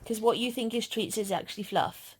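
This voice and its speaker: A woman's voice: a little high, fairly quick. A woman chatting casually as a vlogger. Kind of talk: casual chat. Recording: clear background noise and clicks.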